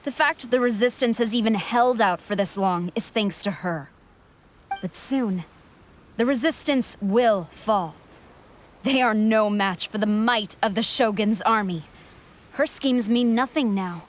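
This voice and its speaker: An actress playing a haughty, coldly confident army commander in a video game, speaking in a measured, imperious and condescending way. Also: female voice, medium pitch, natural voice. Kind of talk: dramatic video game voice acting.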